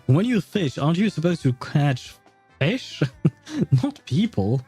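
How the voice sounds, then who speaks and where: A man's voice: a little high, very animated, slow, dark tone, breathy edge, soft background music. A young man casually commenting on his gameplay, chatty, laughing often and swearing. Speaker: game streamer.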